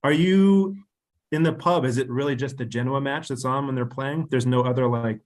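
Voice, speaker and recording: A man's voice: medium pitch, normal speed. Curious man asking eager questions. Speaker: podcast co-host. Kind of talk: casual podcast chat.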